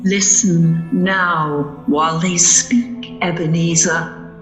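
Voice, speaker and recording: A woman playing a solemn, relentless spirit in a radio play, deliberate and commanding. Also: on the low side, steady tone, soft background music. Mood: solemn, insistent. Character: solemn, relentless female ghost of Christmas past. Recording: echoey, muffled sound.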